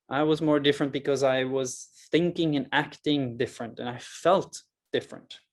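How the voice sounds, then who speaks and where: A man in his thirties from rural Norway, speaking English with a Norwegian accent as he gives a personal, earnest presentation with deliberate, emphatic pauses. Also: mid-range voice. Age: 30s. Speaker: public speaker, mental health project founder.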